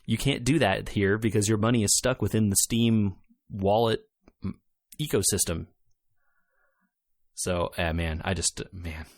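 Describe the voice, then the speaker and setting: A man's voice: fairly low pitch, steady tone, measured pace, pauses often, no room sound, clean studio sound. A man co-hosting a casual gaming podcast, talking emphatically and with exasperation, repeating words for stress. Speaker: podcast co-host.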